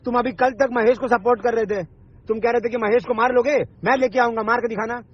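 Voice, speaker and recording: A man's voice: very high-pitched, fairly even intonation, fast, breathy edge. A man in a heated phone argument, confrontational and insistent, swearing and repeating himself. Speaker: online video maker. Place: phone call recording. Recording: dull lo-fi recorded phone call.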